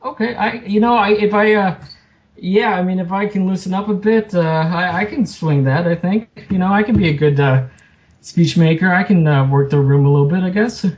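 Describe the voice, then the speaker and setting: A man's voice: high-pitched, normal speed, darkish tone. A man being interviewed as a podcast guest, talking modestly and hesitantly, with many fillers. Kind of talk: casual interview. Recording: muffled lo-fi recording.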